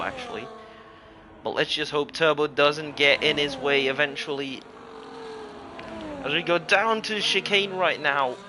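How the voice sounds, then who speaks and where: A man's voice: fairly high pitch, bright, forceful tone, a little hiss or hum. A man from Europe giving casual live sim racing commentary as a livestream host, mixing it with jokey, mock-indignant banter.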